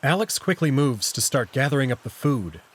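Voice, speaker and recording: Expressive man narrating, slightly rough voice. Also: medium pitch, normal speed. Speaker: narrator reading a visual novel aloud. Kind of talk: scripted first-person story narration.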